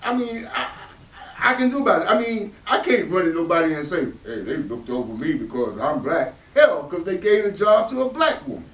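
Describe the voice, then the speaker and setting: High-pitched, grumbling older working man acted out. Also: very animated, brisk, a bit bright. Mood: bitter. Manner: grumbling, curt, salty. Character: bitter, gruff, domineering middle-aged working father. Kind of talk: stage readers theatre acting. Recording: echoey room, distant mic.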